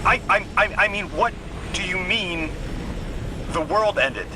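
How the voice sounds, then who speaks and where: A man's voice: sits high, lively intonation, a little slow, noisy background. A man voicing an earnest, anxious translator in a video game, speaking in a hesitant, stammering way. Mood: confused, alarmed. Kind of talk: naturalistic video game voice acting. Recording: music behind the voice. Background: music.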